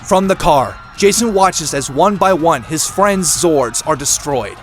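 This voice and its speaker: A man's voice: fairly high pitch, animated, a little slow. A man narrating a scripted action audio drama in a dramatic manner. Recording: very loud music and effects behind.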